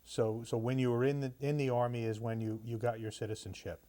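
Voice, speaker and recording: Mellow-voiced male interviewer. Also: medium pitch, flat delivery, fairly quick. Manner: friendly, with short encouraging replies. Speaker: interviewer for a veterans' post. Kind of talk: oral history interview.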